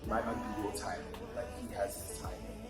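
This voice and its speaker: A man's voice: fairly low pitch, steady tone, fast, echoey room, over loud music. A young man from South Africa, telling his life story in a reflective, casual interview with many fillers and restarts. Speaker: podcast guest.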